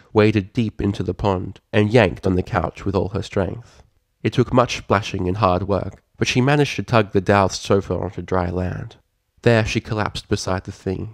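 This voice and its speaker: A man narrates scripted fiction in a low voice with a gravelly, creaky edge. He reads expressively and with drama, and the recording is very dry and close. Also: no room sound.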